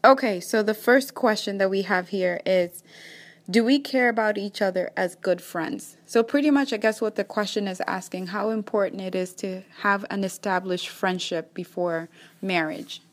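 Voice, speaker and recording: A young Kenyan woman hosts a faith podcast with a Kenyan accent. She talks in an upbeat, encouraging way at an everyday pitch. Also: normal speed. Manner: upbeat, encouraging, praise-filled. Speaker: podcast host. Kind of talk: faith-based podcast chat.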